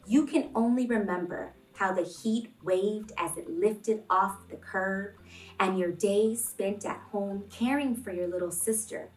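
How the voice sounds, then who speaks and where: A woman hosting a children's read-along, reading expressively and addressing young listeners deliberately as friends. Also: medium pitch, a bit bright. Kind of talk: expressive picture-book read-aloud and talk to young children. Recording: roomy, distant microphone, music behind.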